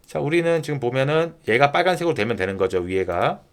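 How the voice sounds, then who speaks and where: A man narrating a software tutorial step by step in informal polite speech, often posing a question and then answering it himself. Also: medium pitch, big pitch swings, fast talker. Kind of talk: screen-recording tutorial narration, explaining step by step.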